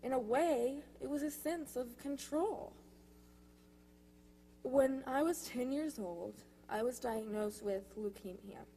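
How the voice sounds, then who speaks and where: A young woman with a fairly high voice gives a prepared personal speech. She phrases it deliberately, like written storytelling read aloud. The live recording carries faint noise and a hum. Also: mellow, rough recording. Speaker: youth speaker at a church service. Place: church, speaking to a congregation.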